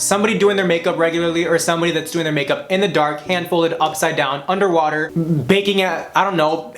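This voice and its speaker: A man's voice: on the high side, echoey room. A man chatting casually through a makeup tutorial, sassy and slangy, losing his voice. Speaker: makeup vlogger.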